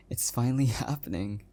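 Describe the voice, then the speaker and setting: Adorkable, oblivious husband acted by a man for an intimate audio roleplay: gentle, hesitant, self-deprecating, mid-pitched male voice. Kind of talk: intimate audio roleplay, one-sided conversation.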